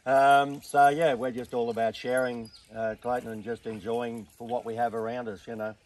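An older man with an Australian accent, a farm stay owner speaking slowly and hesitantly. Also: medium pitch, little pitch movement, a little mellow. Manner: slow, hesitant, many "uh"s. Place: outdoors by a river. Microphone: camera mic from a few metres away. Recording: distant outdoor mic.

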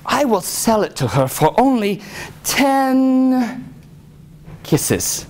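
A woman's voice: on the high side, very animated, extremely slow, a little mellow, pitched above the performer's own voice, heavily acted. A woman voicing a sly, cheeky male swineherd from a fairy tale, bargaining in a teasing way.

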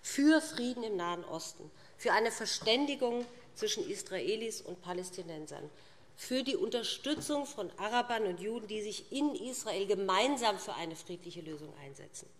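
A woman's voice: medium pitch, animated, normal speed. Middle-aged woman speechmaking in a reverberant hall. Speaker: parliamentary speaker for a party group. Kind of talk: formal prepared political speech. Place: large indoor parliament chamber. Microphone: lectern microphone.

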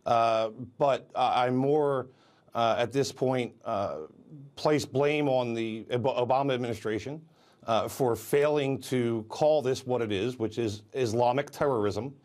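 A man's voice: mid-range voice, measured pace, a little mellow. Middle-aged man, TV guest on a remote studio link, firm, combative. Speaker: pro-gun guest on a TV morning show. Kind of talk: live TV interview by remote link.

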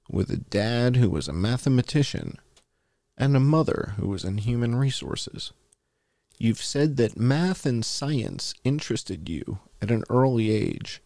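A man's voice: medium pitch, creaky, warm tone, dry recording. A man hosting a show, reading a published article aloud as narration.

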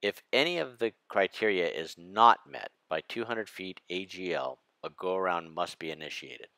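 A man, a flight instructor, narrating a lesson on approach and landing in a measured, precise way. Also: deep voice, lively intonation, sharp tone, dry recording. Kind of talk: scripted narration over slides.

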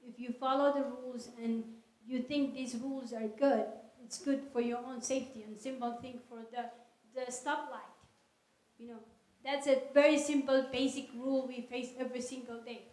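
A woman answering audience questions at a lecture in an earnest, measured way, speaking into a handheld microphone in a large hall. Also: high-pitched, steady tone, darkish tone. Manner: earnest, measured, non-native phrasing. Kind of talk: public Q&A talk. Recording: echoey hall.

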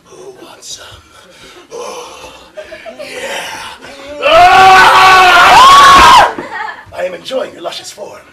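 A man on stage playing a grandiose, violent pro wrestler who booms out pompous proclamations with dramatic pauses and yells in a broad comic style. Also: wildly swooping pitch, gravelly, over a noisy crowd, heavily acted. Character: bombastic hulking male pro wrestler, grandiose, violent, childlike. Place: small theatre stage, indoors. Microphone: far camera in the audience. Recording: distant, echoey, noisy theatre recording with audience.

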